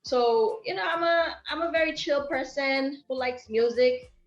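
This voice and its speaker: A bubbly young woman with a thick Haitian accent, speaking a bit high and full of "you know"s as a guest on a music interview. Her voice sounds dull and roomy, with faint music underneath. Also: a little slow. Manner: bubbly, upbeat, full of fillers. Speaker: guest singer. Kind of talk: interview conversation.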